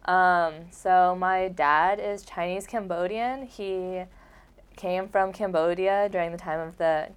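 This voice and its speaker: A woman's voice: fairly low pitch, steady tone, takes it slowly, clear, resonant tone. A young woman from Oregon talking casually about her family, rambling with lots of filler words. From Oregon, United States. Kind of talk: casual conversation.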